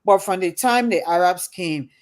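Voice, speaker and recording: A woman hosts an interview, talking in an earnest, halting way. She fills her sentences with ums and restarts as she works through her thoughts. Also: lowish voice. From Liberia. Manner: earnest, halting, many fillers. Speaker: host. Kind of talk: casual interview chat.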